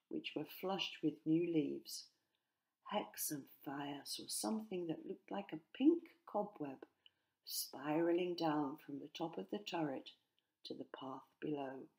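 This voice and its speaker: A woman narrating a children's storybook expressively, in measured phrases that sometimes drop to hushed tones. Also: low-pitched, creaky, low-quality recording. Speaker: author narrating her own story.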